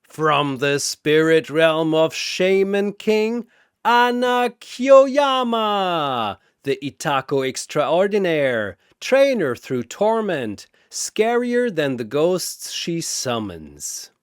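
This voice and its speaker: A man performs a grandiose, ancient master of a cosmic arena in a fantasy battle story. The voice is pushed high and swoops widely as it hypes up each fighter with gleeful showmanship, stretching out the names. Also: very animated, smooth, no echo, studio quality, full character voice. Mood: bombastic hype. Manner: grandiose announcer delivery with drawn-out names.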